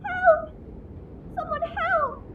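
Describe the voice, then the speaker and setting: Tiny flower fairy, audio roleplay, extremely high, dainty, polite, leisurely pace, faint background noise. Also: female voice, steady tone, very slow, phone-quality sound, exaggerated voice. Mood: pleading. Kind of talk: audio roleplay drama acting.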